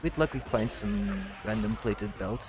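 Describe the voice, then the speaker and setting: A man's voice: on the low side, measured pace, rough recording. A man giving casual gameplay commentary, muttering his thoughts aloud in short fragments. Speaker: gamer narrating a playthrough. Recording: game music behind. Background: video game music.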